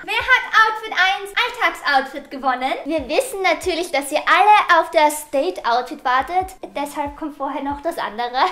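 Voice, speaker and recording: A woman's voice: high voice, expressive, normal speed, hardly any pauses, pushed and bright. A young woman co-hosting a vlog in casual, playfully teasing chat, recorded indoors a few steps from the camera. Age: young adult. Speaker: vlog co-host. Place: small bedroom, indoors. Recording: echoey room.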